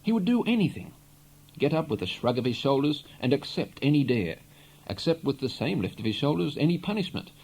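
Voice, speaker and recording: A man reading a literary novel aloud in an expressive, measured storytelling manner. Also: medium pitch, breathy edge, low-quality recording. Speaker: audiobook reader. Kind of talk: literary narration, read aloud.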